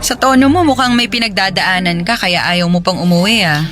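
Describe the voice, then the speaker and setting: An actress with a Filipino accent playing a friendly, sympathetic office worker in a conversational radio drama. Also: female voice, mid-range voice, fairly quick, a bit bright, natural voice. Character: friendly single office woman, sympathetic listener.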